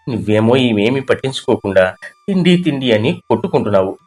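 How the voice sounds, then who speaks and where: A man's voice: lowish voice, a little mellow, lightly acted. A man playing a stern, aggrieved young husband in a story, speaking with reproach.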